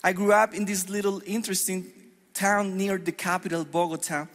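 A middle-aged Colombian pastor with a Colombian Spanish accent delivers an earnest, measured sermon. His voice sits high for a man, and it rings with the long reverb of a church hall. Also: level tone, a bit bright. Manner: earnest, measured preaching with deliberate pauses on scripture.

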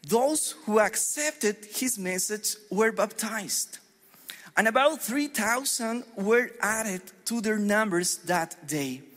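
A middle-aged Colombian pastor with a Colombian Spanish accent delivers an earnest, measured sermon. His voice sits high for a man, and it rings with the long reverb of a church hall. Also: lively intonation, a bit bright. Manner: earnest, measured preaching with deliberate pauses on scripture.

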